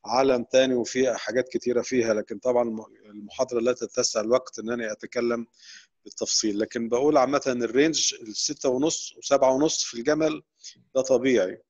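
A man with an Egyptian Arabic accent, a veterinary professor answering questions during an online lecture with many quick restarts. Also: medium pitch, a little mellow. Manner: explanatory, rapid, with frequent restarts. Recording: dull, lo-fi online call audio.

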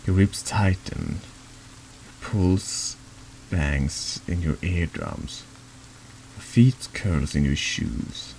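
Very deep, gravelly man reading slowly. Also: darkish tone, a little hiss or hum, poor audio. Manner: halting reading, stumbles over longer words. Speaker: streamer reading a text horror game aloud. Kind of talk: slow scripted narration, soft horror ASMR.

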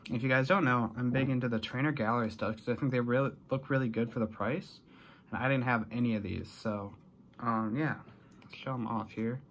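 Young American male vlogger, mid-pitched, casual and rambling, bedroom recording with audible noise. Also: fairly even intonation, a little fast, fairly bright tone. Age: young adult. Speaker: vlogger showing a collection haul. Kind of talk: casual chat to camera. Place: small bedroom, indoors.

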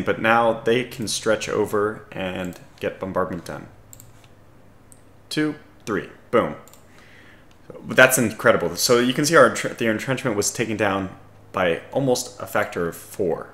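Guy doing a strategy lets play, deep-ish scratchy voice, thinking out loud, bit echoey room. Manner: thinking aloud, calm and analytical. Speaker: gaming video host. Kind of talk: casual strategy game commentary.

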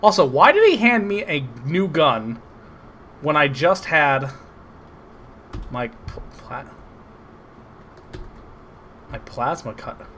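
A man commenting on a game he is playing, loud and excitable, cursing freely and mocking the story. His voice is bright and jumps all over in pitch. A faint hiss sits under the recording. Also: slow pace, pauses often. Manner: loud, excitable, swearing, sarcastic. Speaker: gameplay commentator. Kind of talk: casual let's play commentary.

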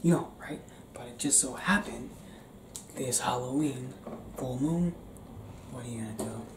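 A young man performing an intimate ASMR roleplay as a restless, tender werewolf, speaking in a hushed, halting, often whispered way. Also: medium pitch. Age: young adult. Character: young male werewolf confiding in his partner, restless, tender. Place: small indoor room. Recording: roomy echo, clear background noise.

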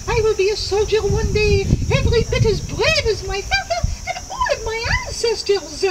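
A woman's voice: extremely high pitch, expressive, normal speed. A woman voicing a brave, earnest eight-year-old French aristocrat boy in a puppet show, with grandiose, formal declarations. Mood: proud. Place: outdoor puppet theater at a reenactment. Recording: very noisy outdoor recording with insects.

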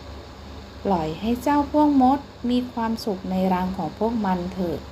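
Female reader as youngest dwarf brother in a storybook tale: tender-hearted, protective, slow soft-toned pleading, mellow. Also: mid-range voice, sing-song, slight background noise, rough recording. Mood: gently pleading. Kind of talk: children's storybook narration.

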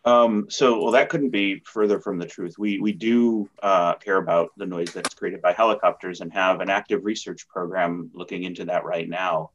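A man's voice: low-pitched, normal speed, few pauses, a bit bright. A man, an agency expert, giving formal panel answers over a slightly dull video-call connection. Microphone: computer video-call microphone.

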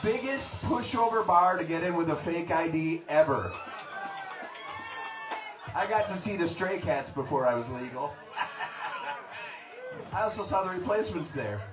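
A middle-aged frontman chats to the crowd in a loose, storytelling way, his voice sitting fairly high. He is heard through a stage mic from across the room, with the echo of a small bar. Band gear and a crowd murmur fill the background. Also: expressive, measured pace, pauses often, music in the background. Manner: chatty storytelling, chuckling asides. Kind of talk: stage banter between songs. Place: small tavern stage, indoors. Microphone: stage vocal mic, picked up by a camera in the room. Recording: echoey bar, distant, band and crowd noise.

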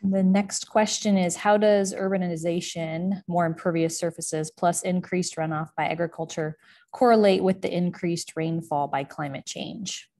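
A woman moderating an online talk, reading audience questions aloud in a steady manner over a video call. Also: lowish voice, moderate pace. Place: indoors, office room.

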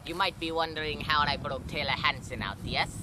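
A man's voice: on the high side, fairly quick, natural voice. A teenage boy playing a foul-mouthed, boastful anime-fan villain in a mock foreign accent, in a homemade outdoor skit. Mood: taunting. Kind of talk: homemade amateur video skit. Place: outdoors on a suburban lawn. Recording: noisy outdoor camera audio.

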